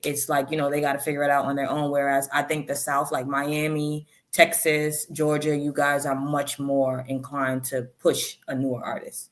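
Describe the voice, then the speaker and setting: Woman in her 30s, low voice, chatty and animated, rapper on an interview. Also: moderate pace, few pauses. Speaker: rapper guest. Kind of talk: interview storytelling.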